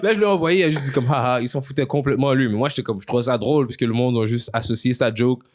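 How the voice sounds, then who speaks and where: A man's voice: medium pitch, very fast. A man from Quebec, a podcast guest, telling stories in slangy Montreal French mixed with English, laughing often. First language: neither French nor English. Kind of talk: casual podcast chat.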